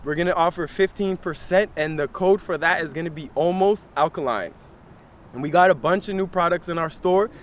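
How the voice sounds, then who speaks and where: A young man hosting a wellness channel, talking casually and earnestly to camera, repeating phrases for emphasis in relaxed slangy speech. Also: a little high, slight background noise. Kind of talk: casual motivational talk to camera.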